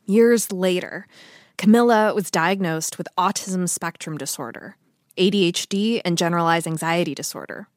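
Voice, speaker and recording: A woman's voice: fairly low pitch, moderate pace, no room sound, clean studio sound. An American woman hosting a podcast with scripted narration. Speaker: podcast host.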